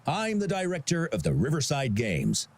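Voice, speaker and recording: Genial older festival director, animated delivery. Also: male voice, fairly high pitch, normal speed, slight background noise. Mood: friendly, chatty. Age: middle-aged. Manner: genial, chatty, expressive. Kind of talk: video game voice acting.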